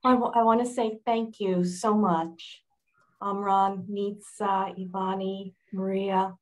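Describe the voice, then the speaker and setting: Woman host wrapping up a webinar, measured and thankful, average voice. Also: mid-range voice, little pitch movement. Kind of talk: closing remarks.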